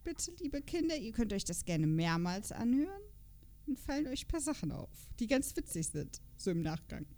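A woman with a fairly high-pitched voice talks as a podcast host. She chats casually and loosely, rambling along from one thought to the next. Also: very animated, normal speed, mellow. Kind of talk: casual chat.

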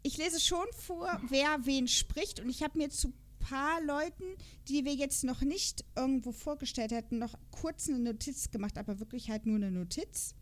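Chatty high-voiced woman hosting a podcast. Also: moderate pace, few pauses. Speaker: podcast host. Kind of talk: casual podcast chat about audio dramas.

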